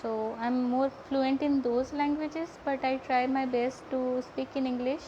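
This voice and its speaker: A woman's voice: sits high, steady tone, unhurried, warm tone. A young woman from Odisha with an Indian accent and Odia as her native language, hosting a casual live painting tutorial with a hesitant, stumbling delivery. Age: young adult. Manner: hesitant, stumbling, repeats words. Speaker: art live-stream host and painting teacher. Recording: dull lo-fi audio with heavy background noise.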